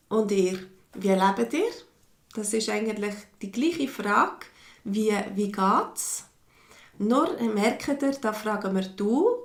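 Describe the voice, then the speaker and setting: Swiss woman teacher, slow and patient, gravelly edge, roomy echo; live online lesson. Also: medium pitch. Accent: mixed Swiss German. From Switzerland. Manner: slow, patient, reads viewers' comments aloud. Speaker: language teacher. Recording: echoey room.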